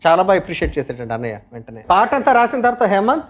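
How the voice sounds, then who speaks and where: A man's voice: high-pitched, big pitch swings, rapid-fire. A man from a film team telling anecdotes casually in a group interview. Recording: faint background noise.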